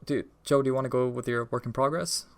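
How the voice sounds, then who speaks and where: A man co-hosting a podcast, chatting casually in a slightly halting way. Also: mid-range voice, fairly even intonation, a crowd in the background. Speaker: podcast co-host. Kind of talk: casual hobby podcast chat.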